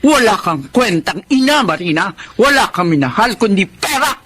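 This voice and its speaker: An actress playing an indignant, reproachful relative in Filipino radio melodrama. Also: female voice, mid-range voice, sing-song, moderate pace, fairly bright tone, slight background noise. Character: indignant relative scolding a heartless mother.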